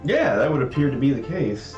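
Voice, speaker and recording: Animated man explaining in a roomy space. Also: medium pitch, brisk, lo-fi sound. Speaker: presenter of a rakugo translation project. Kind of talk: casual explanatory storytelling livestream. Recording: roomy echo, faint music underneath.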